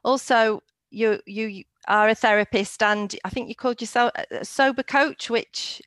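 A woman's voice: on the high side, fairly even intonation, normal speed, fairly bright tone, no echo. A woman presenting a radio show, interviewing a guest in a casual, chatty style.

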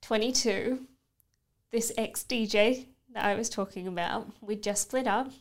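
A woman's voice: mid-range voice, unhurried. A woman in her thirties with a British accent, talking candidly and reflectively about her own life as an interview guest. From United Kingdom. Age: 30s. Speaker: podcast guest. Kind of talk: reflective personal conversation.

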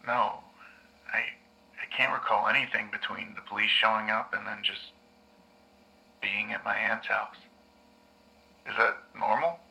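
A man acting as a troubled male therapy patient in an audio drama. His voice is gravelly and very sharp, a little low, and he speaks in a halting, unsure way. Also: steady tone, full character voice, rough recording. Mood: uneasy, unsure. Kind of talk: scripted audio drama dialogue.

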